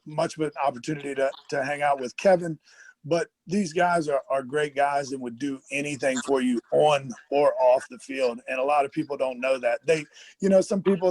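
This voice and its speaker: Higher-voiced man, earnest interview guest. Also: darkish tone, no echo, low-quality recording. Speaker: athlete guest. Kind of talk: casual interview chat.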